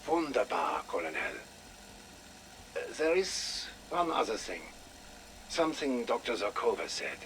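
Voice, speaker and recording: An actor playing a sinister German doctor with menacingly polite, theatrical delivery and a German accent in a video game audio log. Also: male voice, medium pitch, pushed and bright. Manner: theatrical, menacingly polite, savouring his words. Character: sinister German doctor and torturer, gloating Soviet operative. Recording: noisy radio-style audio log.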